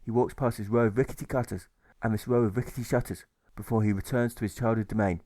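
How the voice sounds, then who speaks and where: A man's voice: on the low side, fairly even intonation, quick pace, mellow, dry recording. A man reading a rhyming story as scripted narration, with a rhythmic storybook delivery. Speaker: author reading own audiobook.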